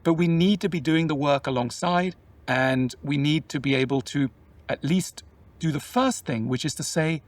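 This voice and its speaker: Man, slightly high voice, measured, explanatory, reflective, a bit off-mic. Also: distant mic. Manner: measured and explanatory, poses questions to the listener. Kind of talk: reflective conversational talk.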